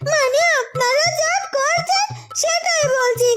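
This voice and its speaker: A woman's voice: very high voice, slow. A woman voicing a brash, bossy, quarrelsome young daughter-in-law in a fairy-tale cartoon, scolding and accusing. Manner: confrontational, scolding. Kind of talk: dramatized Bengali fairy-tale cartoon acting. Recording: loud music behind the voice.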